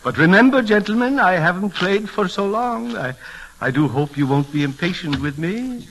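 An actor playing a mild, pious deacon feigning innocence, genteel and hesitant, in 1940s radio comedy style. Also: male voice, high voice, animated, normal speed, heavy background noise. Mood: meek, coy. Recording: vintage 1940s radio broadcast recording.

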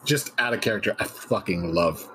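A man's voice: fairly low pitch, expressive, moderate pace, sharp tone, breathy edge, soft background music, low-quality recording. A man chatting casually on a livestream.

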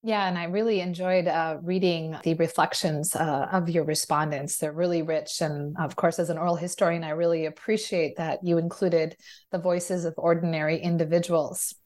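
A woman's voice: fairly low pitch, fairly even intonation, moderate pace, a little mellow. A woman, an oral historian, hosting an interview, reading the guest's introduction and asking questions. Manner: friendly, reads introduction then asks questions. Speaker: podcast host, oral historian.

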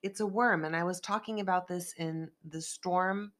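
Congested, low-voiced woman host. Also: fairly even intonation, fairly bright tone. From Northern California. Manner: rambling, chatty, quick asides. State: congested from a sinus rinse, losing voice. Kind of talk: casual chat.

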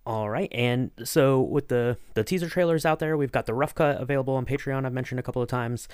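A man's voice: medium pitch, fast talker, a little mellow, smooth, no echo, studio quality. A man hosting a casual podcast interview, talking in long, rambling, circling sentences.

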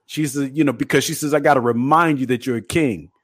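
A man's voice: mid-range voice, fast talker, dry recording, studio quality. A middle-aged man co-hosting a talk show, speaking emphatically in a casual preaching style and often repeating words. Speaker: podcast co-host.

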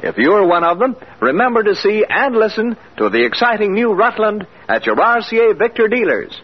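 A man's voice: sits high, very animated, moderate pace, slightly rough. A man with an American accent reading a scripted 1950s radio commercial as the announcer. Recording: dull old radio recording.

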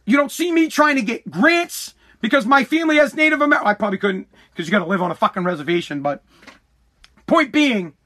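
A man's voice: extremely high pitch, expressive, fairly quick, fairly bright tone. An American man hosting a podcast, delivering an opinionated solo rant in a combative, emphatic, repetitive and profane manner. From United States. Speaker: podcast host.

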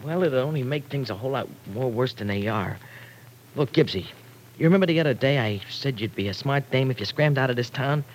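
An actor playing an evasive, slangy errand runner in an old-time radio drama, stammering in streetwise slang. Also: male voice, medium pitch, animated, a little fast, slight background noise, close to an everyday voice, low-quality recording. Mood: urgent pleading. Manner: streetwise slang, hesitant and stammering under pressure.

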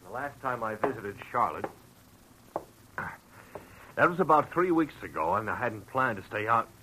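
An actor playing an anxious, well-spoken husband and shop owner, earnest and dramatic in 1940s radio style. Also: male voice, fairly low pitch, sing-song, unhurried. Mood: shaken. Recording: noisy old radio broadcast recording.

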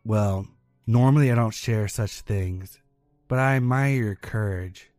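A man's voice: fairly low pitch, measured pace, darkish tone, no room sound, soft background music, natural voice. A man voicing a hissing, snake-like Christmas monster. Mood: menacing. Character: thin hissing male Christmas monster, sinister.

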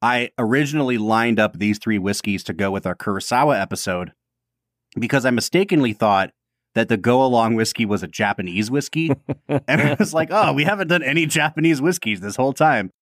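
A man's voice: mid-range voice, expressive, brisk, fairly bright tone, no room sound, clean studio sound. A man co-hosting a podcast in casual chat, talkative and self-deprecating, often breaking into laughs. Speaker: podcast co-host.

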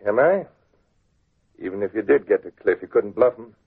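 Raspy, shifty old-radio crook. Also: male voice, fairly low pitch, animated, normal speed, heavily acted. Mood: defiant. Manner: slangy, cagey, blustering. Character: shifty, defiant small-time male crook. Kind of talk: 1940s radio drama acting. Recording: tinny, scratchy old radio recording.

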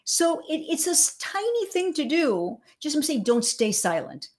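A woman's voice: sits high, very animated, moderate pace, darkish tone. A woman born in Cuba whose first language is Spanish, a speaker coach talking in an interview over a video call. She talks animatedly, with frequent restarts, and jumps her voice up when acting out quotes. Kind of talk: livestream interview.

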